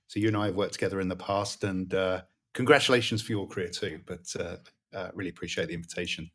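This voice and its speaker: A British man in his fifties, a guest in an interview, talking in a relaxed way with many pauses and fillers. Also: sits low. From Britain. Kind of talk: interview conversation.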